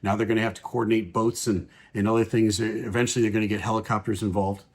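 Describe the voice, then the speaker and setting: A man, a pilot, calmly explains air traffic radio calls in measured commentary with frequent pauses. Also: fairly low pitch.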